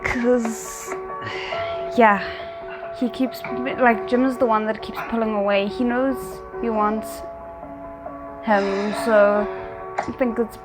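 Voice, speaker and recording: A woman giving casual, expressive reaction commentary on a series, thinking aloud in an informal way. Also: on the high side, slow pace, long pauses, music in the background, rough recording. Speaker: reaction video host.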